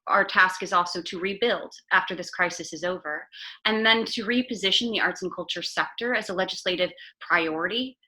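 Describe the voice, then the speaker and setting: A woman's voice: lowish voice, brisk, sharp tone, rough recording. A woman guest in an interview, an arts advocate who speaks earnestly with many "um" fillers and restarts. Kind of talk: casual interview chat.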